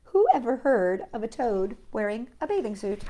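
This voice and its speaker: A woman's voice: a little high, very animated, moderate pace, dark tone. A woman hosting a children's storytime, reading a picture book aloud with playful, chatty asides to young viewers.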